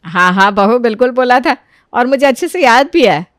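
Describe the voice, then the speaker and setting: A woman's voice: fairly high pitch, expressive, moderate pace, no echo, studio quality, pitched above the performer's own voice, lightly acted. A woman voicing a middle-aged mother in a family story, exasperated but caring, with emphatic motherly talk. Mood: pleased, encouraging.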